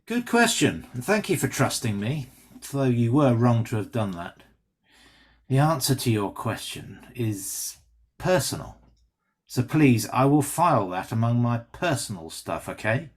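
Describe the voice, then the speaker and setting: A man's voice: mid-range voice, big pitch swings, measured pace, husky. A man playing a callous, mocking traitor pilot with a smug, sarcastic delivery in a script read. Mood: smug, cold. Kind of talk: screenplay table read acting.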